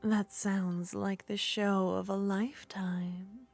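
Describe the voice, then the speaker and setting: Jealous witch girl, a woman acting her out, very slow and soft, half whispers, bit of music under it. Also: medium pitch, little pitch movement, vocal fry. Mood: teasing. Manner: soft-spoken, teasing, often whispering. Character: jealous possessive young witch, teasing and haughty. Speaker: ASMR roleplay performer. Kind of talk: ASMR roleplay.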